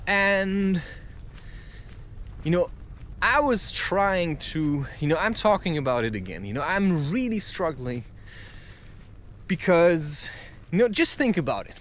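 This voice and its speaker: A man giving a rambling, confessional monologue in a halting, emphatic way, recorded outdoors while walking. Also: sits high, sing-song, a bit bright, a little hiss or hum. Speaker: vlogger recording a spoken-word podcast.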